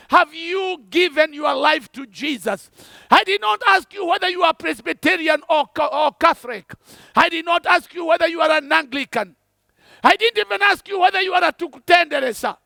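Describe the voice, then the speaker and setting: A man preaching a fervent evangelistic sermon, shouting and repeating his points. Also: very high-pitched, gravelly, no room sound.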